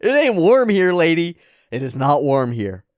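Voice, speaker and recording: Casting: woman as a brash, loud-talking comic-book fighter, scoffing and blunt, lively swings. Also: sits low, normal speed, no echo, studio quality, voice pushed up. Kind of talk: comic read-aloud character voice.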